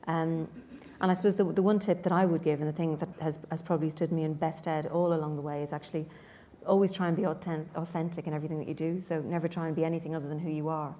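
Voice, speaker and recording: A woman panelist with a very low, mellow voice. She talks quickly and earnestly, often restarting her sentences, over a faint background hiss. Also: steady tone. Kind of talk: panel talk.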